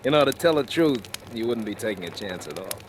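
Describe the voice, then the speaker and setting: An actor playing a shrewd, cynical convicted embezzler who narrates his crime in deliberate, dramatic 1940s radio thriller style. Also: fairly low pitch, sing-song, background noise. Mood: wry, calculating. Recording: old radio drama with music behind.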